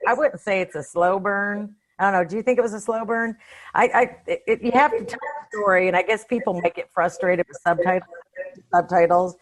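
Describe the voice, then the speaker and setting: A woman's voice: lowish voice, low-quality recording. A woman in a casual discussion as a podcast panelist, speaking in an informal, opinionated, slangy way. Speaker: podcast panelist reviewing films.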